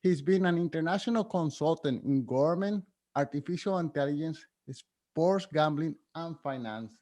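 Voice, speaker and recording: A man's voice: a little high, normal speed, a little mellow. A man hosting his podcast, reading a scripted introduction and then asking long, meandering questions with small laughs. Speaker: podcast host. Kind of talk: podcast interview.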